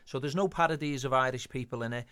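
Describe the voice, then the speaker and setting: A man's voice: mid-range voice, fast, sharp tone, clear, resonant tone. A man with a Liverpool Scouse accent, telling anecdotes as a guest writer and director in a radio interview. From Liverpool, England.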